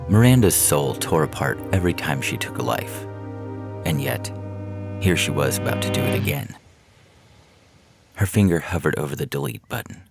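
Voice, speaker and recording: A man's voice: a bass voice, sing-song, moderate pace, vocal fry, pauses often. A man reading scripted fiction aloud in a dramatic narration style, as a podcast story reader. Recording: music bed behind the voice. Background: music.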